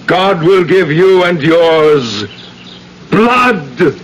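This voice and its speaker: A man playing a condemned man in a radio drama, cursing his persecutor with loud, declamatory delivery. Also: a little high, expressive, very slow, muffled recording, heavily acted. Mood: vengeful, defiant. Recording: noisy old radio recording.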